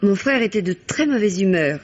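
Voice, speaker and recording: Une actrice jouant une jeune femme anxieuse, voix voilée. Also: female voice, medium pitch, lively intonation, moderate pace, lightly acted. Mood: anxious, confiding. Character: anxious, grieving young woman confiding in police. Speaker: actress in a radio mystery. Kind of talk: French radio mystery drama acting. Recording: dull old radio recording.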